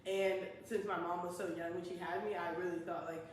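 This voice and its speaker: A woman's voice: lowish voice, fairly quick. A woman in her early twenties, co-hosting a podcast in casual chat, laughing and telling stories. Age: 20s. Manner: laughing, animated storytelling. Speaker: podcast co-host. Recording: echoey room, mic at a distance, faint noise.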